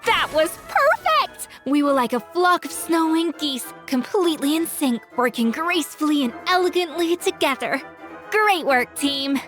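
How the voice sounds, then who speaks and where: Proud picky young lady chef from a game, high voice, very lively and commanding, faint music behind. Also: normal speed. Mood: proud, pleased. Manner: precise, formal, confident. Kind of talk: video game character acting.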